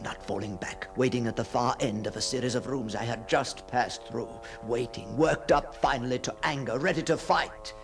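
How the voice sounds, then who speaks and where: Male actor as obsessive haunted gentleman, slow deliberate delivery, creaky voice, theatrical, prone to hushed whispering, radio drama monologue. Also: mid-range voice, lightly acted. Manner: theatrical, halting, often dropping to breathy whispers. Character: haunted, obsessive gentleman narrating his own ghostly encounter. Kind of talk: dramatic first-person monologue, radio ghost story.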